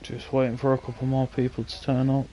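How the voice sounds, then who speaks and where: A relaxed young man with an English accent, talking loosely to his viewers as he streams. His voice is mellow and dark-toned, with a faint hiss of noise behind it. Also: medium pitch, quick pace, poor audio. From England. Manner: relaxed, offhand, chatty with viewers. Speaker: streamer hosting a car meet. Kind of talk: casual gaming stream chat.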